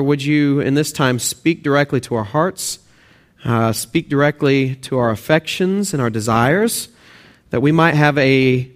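Young texan guy preaching in church, kinda high voice, lots of uhs, earnest. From Texas and Oklahoma. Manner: earnest, many "uh" fillers, rising emphasis on key points. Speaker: student pastor. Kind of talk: sermon with prayer. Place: church sanctuary.

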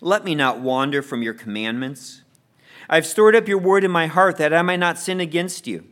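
A man's voice: fairly high pitch, normal speed, a bit bright. A man leading a church Bible study class, reading scripture aloud and inviting people to share, often repeating words as he restarts.

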